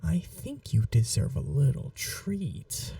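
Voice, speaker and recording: A man playing a possessive, seductive vampire in an intimate ASMR roleplay, speaking in a soft, breathy half-whisper with a languid, teasing, condescending manner. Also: medium pitch, wildly swooping pitch, takes it slowly, no echo, recorded from a distance, exaggerated voice. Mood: teasing, doting.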